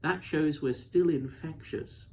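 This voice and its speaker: A man's voice: fairly high pitch, slow, a little mellow, a little hiss or hum, voice pushed up. A man voicing an earnest, tender-hearted young girl in a children's storybook, with a concerned manner. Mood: urgent.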